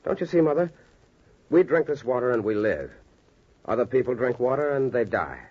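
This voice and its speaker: An actor playing an idealistic, intense young doctor and devoted son, earnest and emphatic, in 1940s radio drama style. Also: male voice, mid-range voice, animated, moderate pace, darkish tone, breathy edge. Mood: impassioned. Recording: muffled 1940s radio recording.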